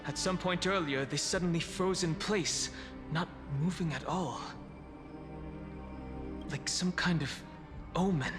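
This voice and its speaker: A man voicing a serious, level-headed young adventurer in a video game, speaking in a measured way. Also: a little high, over music, natural voice. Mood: tense. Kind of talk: English game voice acting.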